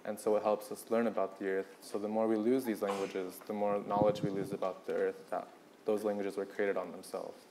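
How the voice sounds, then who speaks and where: Low, even-voiced man speaking reflectively. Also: a little fast, a little hiss or hum. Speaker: panelist. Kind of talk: earnest panel talk, unscripted.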